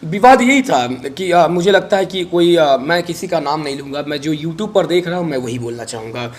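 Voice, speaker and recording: A man's voice: a little high, lively intonation, normal speed. A man giving unscripted interview answers in an emphatic way, repeating phrases for weight. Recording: noisy recording with background ambience.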